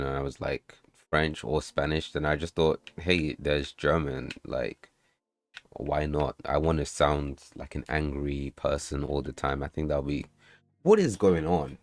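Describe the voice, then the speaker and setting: A man livestreaming while he builds and tests his game, chatting loosely with viewers, swearing and bursting into excited outbursts. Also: extremely low pitch, dry recording. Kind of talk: casual livestream chat while coding and playtesting.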